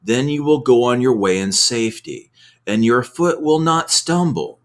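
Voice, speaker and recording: A man's voice: medium pitch, animated, measured pace. A man reading scripture aloud in a devotional ASMR style. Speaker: scripture reader.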